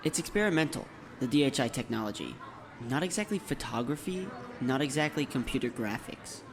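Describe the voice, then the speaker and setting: A man's voice: medium pitch, animated, brisk, noisy background, close to an everyday voice. Man voicing an earnest schoolboy, crowd behind. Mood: intent. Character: earnest, anxious schoolboy hero seeking answers. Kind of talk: audiobook drama dialogue.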